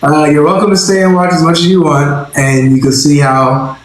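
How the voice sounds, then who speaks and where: Guy hosting a debate stream, calm and persuasive, restarts a lot, super echoey sound. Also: a little high, moderate pace. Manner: calm, persuasive, repeats words while thinking. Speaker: host of a religious debate stream. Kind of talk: casual live debate chat. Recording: very echoey room.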